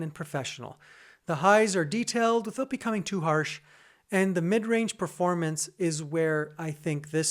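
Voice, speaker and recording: A man with a full, bassy voice walks viewers through a piece of sound gear. He talks easily and conversationally, calling his audience "guys" as he explains the features. Part of the review is read from a script, and he records it in his own home studio. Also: a little mellow. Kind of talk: casual product review, partly scripted.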